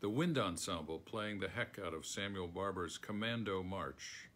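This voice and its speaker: An older American man reading a written foreword aloud in a measured manner, recorded indoors on a phone. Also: sits low, pushed and bright. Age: older, around 70. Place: book-lined room at home, indoors.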